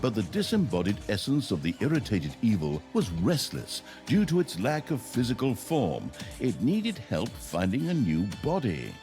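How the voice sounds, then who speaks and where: A man's voice: lowish voice, wildly swooping pitch, normal speed, few pauses. A man narrating a comedic fantasy game story in a theatrical, mock-grand storyteller manner with a wry delivery. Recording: music under the voice. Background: music.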